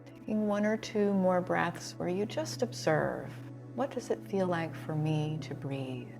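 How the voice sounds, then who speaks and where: A woman leading a guided relaxation as a yoga instructor, soothing and unhurried, with pauses between phrases. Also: on the low side, vocal fry, over music.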